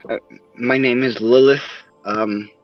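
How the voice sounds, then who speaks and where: A man playing a roleplay character, hesitant and filling pauses with many uh sounds. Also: medium pitch, steady tone, no room sound, highs cut off, faint music behind. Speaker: roleplay player.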